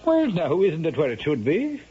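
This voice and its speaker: An actor playing a sly, garrulous Irish storyteller in a radio drama, blustering and stammering with an Irish accent. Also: male voice, fairly high pitch, huge pitch swings, normal speed. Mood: sly, storytelling. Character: sly, garrulous Irish storyteller and anxious old rogue father. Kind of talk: 1970s radio drama acting. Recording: dull, noisy 1970s radio broadcast.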